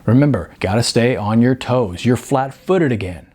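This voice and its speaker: A man's voice: mid-range voice, animated, normal speed, a little mellow, natural voice. A man voicing a no-nonsense kickboxing trainer in an audiobook, firm, clipped and instructive. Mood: instructing.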